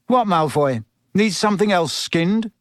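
A man voicing a hot-headed, loyal schoolboy in a storybook, rough and blunt. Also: sits high, animated, measured pace, dry recording, clean studio sound, pitched above the performer's own voice. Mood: challenging.

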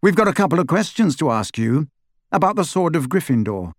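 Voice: medium pitch, very animated, a little fast, dry recording, studio quality, voice pushed up. A performer voicing a determined, impatient teenage boy wizard with a terse, direct way of talking, in an audiobook. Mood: firm.

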